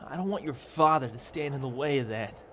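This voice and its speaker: A man's voice: mid-range voice, a little slow, a little hiss or hum, lightly acted. A man playing an impatient, lovestruck teenage boy in a motion comic, earnest and pleading.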